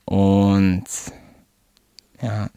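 A man in his twenties talks about his life in an easy, rambling way, with plenty of fillers and restarts. His voice sits low and barely moves in pitch. The sound is close and dry. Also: monotone, drawn-out words, mellow, clean tone, no echo, muffled recording. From Germany. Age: 20s. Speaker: rapper guest. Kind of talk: casual interview chat.

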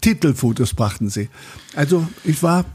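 A man's voice: a little high, lively intonation, normal speed, darkish tone, slightly rough. An older man from Saxony, a photographer recalling his past in a conversational interview, rambling and often restarting his sentences. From Saxony, Germany. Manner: rambling, self-interrupting storyteller with many restarts.

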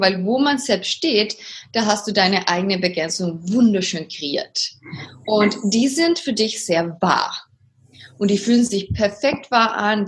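A woman from Sweden, a coach and guest on a podcast, speaking with many pauses, fillers and restarts. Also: medium pitch. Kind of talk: podcast interview.

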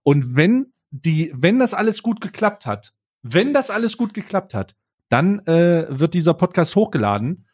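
A man's voice: on the high side, sing-song, a little slow, darkish tone, husky. A man hosting a podcast in casual chat, chatty and hesitant, recorded over a remote call. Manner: chatty, hesitant, laughs easily. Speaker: podcast host.

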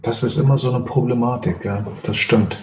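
A man's voice: mid-range voice, steady tone, brisk. A Portuguese man speaking German with a Portuguese accent, a professional translator explaining his work in an interview and often tagging sentences with "ja". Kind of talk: interview answers. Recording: roomy, slightly dull sound.

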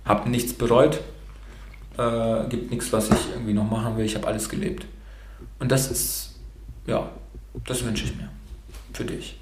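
A Romanian-born man raised bilingual in Germany talks with a rolled R, frank and loose, dropping curse words and fillers. His voice sits at an ordinary pitch for a man. The recording carries a noticeable room echo. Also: flat delivery, measured pace, long pauses, slight background noise. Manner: frank, with casual swearing and many fillers. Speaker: podcast host being interviewed. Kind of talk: casual motivational talk.

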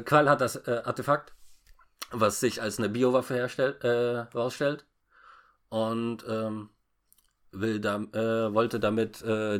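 A man talking casually as he recaps a story in a halting, stammering way with many false starts. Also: medium pitch. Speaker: podcast co-host recapping a film. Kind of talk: casual unscripted plot recap.

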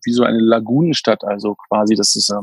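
Casual podcast guest in his thirties, husky and dry, chatty and full of fillers. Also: lowish voice, steady tone, quick pace, no room sound. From Berlin, Germany. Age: 30s. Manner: rambling, many fillers and restarts. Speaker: podcast guest, camper van renter. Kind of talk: casual interview chat.

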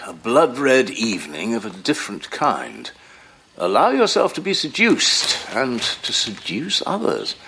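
An actor playing a wry, learned older psychoanalyst and father in an audio drama, deliberate and professorial. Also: mid-range voice, wildly swooping pitch, bright, forceful tone, slight background noise, heavily acted. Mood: amused, curious. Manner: deliberate, professorial, hesitant murmurs.

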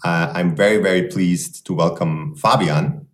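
A man's voice: low voice, unhurried. A man hosting a podcast interview, speaking hesitantly with many fillers and restarts. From Germany. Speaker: podcast host. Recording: roomy echo.

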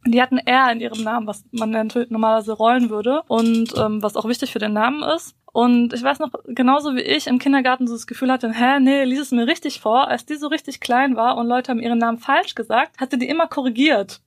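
A woman's voice: fairly high pitch, steady tone, fast, few pauses, dry recording, studio quality. A woman talking reflectively as a guest in a panel discussion, asking herself open questions in loose, run-on sentences.

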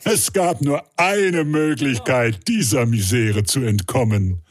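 An actor narrating a comic adventure as a theatrical, mock-dramatic storyteller in an audio play. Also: male voice, medium pitch, animated, slow.

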